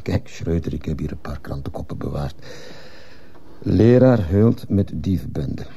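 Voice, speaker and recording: A man playing a stern, formal school headmaster in a radio drama, speaking in a measured, officious way. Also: very deep voice, animated, vocal fry, mellow, husky, heavily acted. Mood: coldly reproving. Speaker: actor in a radio play. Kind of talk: Dutch-language radio drama acting.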